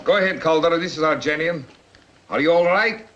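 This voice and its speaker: A man's voice: a little high, brisk, fairly bright tone, dull audio, slight background noise, natural voice. A man playing an exasperated, domineering Soviet intelligence officer in a 1950s film, curt and commanding. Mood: businesslike.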